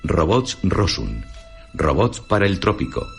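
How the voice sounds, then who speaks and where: A low-pitched man with a Castilian accent narrates a scripted radio program. He is formal and emphatic with lively intonation, and music plays clearly behind him. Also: measured pace. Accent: Castilian Spanish. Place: radio studio. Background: clear background music.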